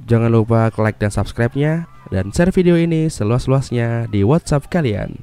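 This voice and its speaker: Stuffy-nosed Indonesian man, lively swooping recap narration. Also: medium pitch, normal speed, smooth. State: unwell, congested. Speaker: host and narrator of film recap videos. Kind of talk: scripted film recap narration with casual slangy asides.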